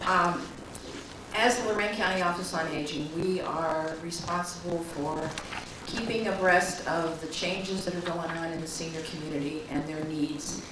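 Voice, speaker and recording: A woman, hoarse from a cold, giving an informative presentation at a county meeting with frequent ums. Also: fairly low pitch, fairly even intonation, normal speed. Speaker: county office director. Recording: echoey room, distant mic, background noise.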